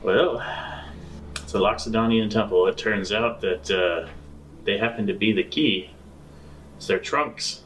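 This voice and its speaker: A man playing a sheepish space adventurer in improvised tabletop roleplay, speaking haltingly and repeating himself. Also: lowish voice, fairly bright tone, distant mic, slight background noise, rough recording. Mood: explaining. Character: sheepish male space adventurer reporting back.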